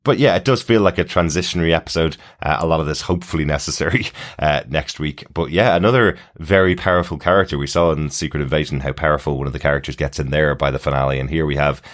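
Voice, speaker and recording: Very deep-voiced man chatting on podcast. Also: fast talker, few pauses. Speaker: podcast host. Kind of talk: casual TV discussion, reading listener email.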